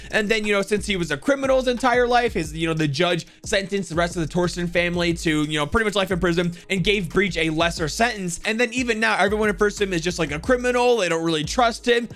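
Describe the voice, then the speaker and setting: High-voiced young man, casual commentary. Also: a bit bright. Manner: informal, slangy, addresses viewers as guys. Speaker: gaming video host.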